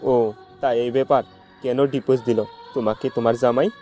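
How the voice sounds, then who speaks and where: A man's voice: medium pitch, steady tone, a little fast, mellow, soft background music, natural voice. A man voicing a heartbroken, jilted young lover in an amateur audio love-story drama. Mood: bitter, mocking.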